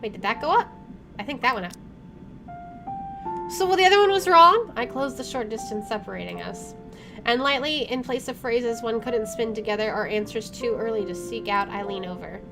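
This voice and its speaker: A woman's voice: on the high side, moderate pace. A woman doing a let's play, reading game narration aloud and reacting expressively, with game music behind her.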